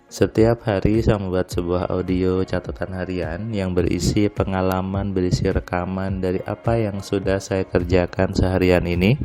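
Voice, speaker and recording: A man recording a relaxed spoken diary on his home terrace at night, his voice a little nasal and stuffy, rambling with frequent fillers. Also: low voice. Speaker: motivational audio diarist. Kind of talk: casual spoken diary monologue.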